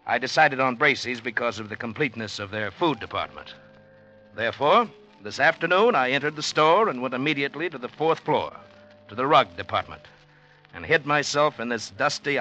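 An actor playing an exultant, secretive, penniless poet, narrating theatrically in a 1940s radio drama. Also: male voice, mid-range voice, animated, fairly quick, pushed and bright, dull audio. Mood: exultant, confiding. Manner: theatrical, intense, declamatory. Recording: old 1940s radio broadcast, music behind.

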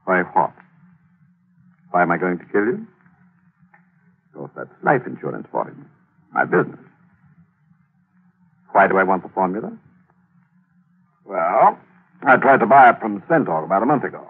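A gravelly smug radio killer. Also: male voice, sits low, very animated, exaggerated voice. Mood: smug menace. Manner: smug, teasing, slow menace. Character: sly menacing male killer, peanut-cracking, mocking. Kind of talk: 1940s radio acting. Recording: tinny old radio broadcast.

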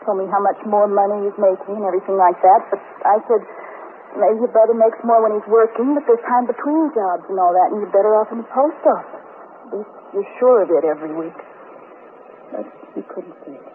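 A woman's voice: mid-range voice, brisk, close to an everyday voice. An actress playing a weary young woman separated from her husband, in a plaintive, complaining manner, in a 1950s radio drama. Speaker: witness. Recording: tinny lo-fi old radio broadcast with hiss.

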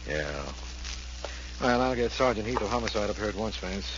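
Actor as a formal district attorney, noisy old radio. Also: male voice, on the low side, lively intonation, moderate pace, natural voice. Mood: grave. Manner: formal, clipped, official. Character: earnest, authoritative male district attorney. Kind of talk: 1940s radio acting. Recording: noisy, muffled 1940s radio recording.

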